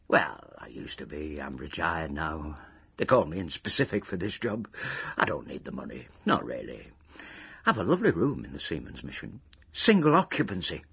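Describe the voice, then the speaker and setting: Radio play: wistful, obsessive little retired packer, heavily husky, very animated delivery, grand phrases in rough grammar. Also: male voice, medium pitch, full character voice. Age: older. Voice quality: raspy, husky. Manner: wordy, self-important, working-class grammar with grand phrases. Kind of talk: radio drama monologue.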